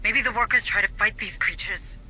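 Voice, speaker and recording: An actor playing a watchful adviser guiding a Marine squad in a video game. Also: male voice, sits high, brisk, pushed and bright, exaggerated voice, low-quality recording. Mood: focused. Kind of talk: scripted game military dialogue.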